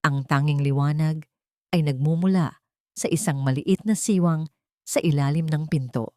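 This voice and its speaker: A woman's voice: deep voice, moderate pace, mellow, no room sound, clean studio sound. A woman reading a scripted story as a dramatic narrator.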